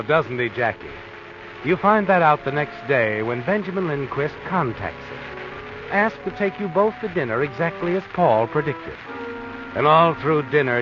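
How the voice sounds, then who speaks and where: An actor playing an ominous, all-knowing narrator in an old radio mystery, speaking in a sly, insinuating way on a muffled, noisy recording. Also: male voice, on the high side, close to an everyday voice. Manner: insinuating, addresses a character directly. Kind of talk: 1940s radio mystery narration in second person.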